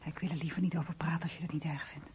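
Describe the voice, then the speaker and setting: An actress playing a reserved, earnest woman in a radio play, speaking intimately and hesitantly in a hushed, half-whispered, breathy voice. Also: low voice, little pitch movement, very fast. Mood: hesitant, pained.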